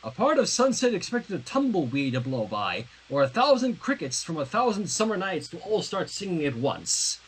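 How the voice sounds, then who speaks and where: A reader narrating fan fiction expressively on a livestream, animated and profane, stumbling and self-correcting with casual asides. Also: medium pitch, normal speed, hardly any pauses, husky, rough recording.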